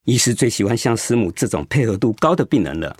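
An actor playing a kind, steady male oncologist in a radio drama, explaining things in a reassuring way. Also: lowish voice, quick pace, breathy edge, no room sound, clean studio sound. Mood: encouraging. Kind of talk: Mandarin radio drama acting.

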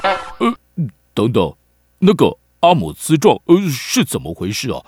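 A man with a Taiwanese accent playing an excitable, skeptical young boy in a children's radio drama, questioning everything with exaggerated hesitation. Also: mid-range voice, a little slow, husky, dry recording, clean studio sound, soft background music, exaggerated voice. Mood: puzzled, skeptical.

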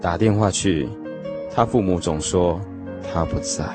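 An actor playing a lovesick young man, telling his lost first love in an expressive, emotional radio drama style. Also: low-pitched, a little slow. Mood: wistful longing. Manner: expressive, sighing, emotional storytelling. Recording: music playing behind the voice. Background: music.

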